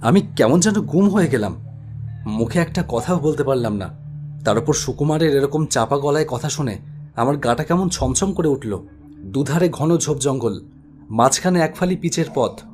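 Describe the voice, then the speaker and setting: A man's voice: fairly high pitch, expressive, normal speed, darkish tone, soft background music. A man narrating a scripted spooky story in a dramatic, suspenseful way.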